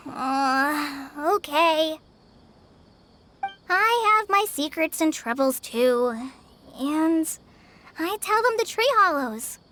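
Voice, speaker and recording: A woman voicing a shy, secretive young woman who speaks timidly with halting pauses. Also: very high-pitched, a bit bright, slight background noise, exaggerated voice.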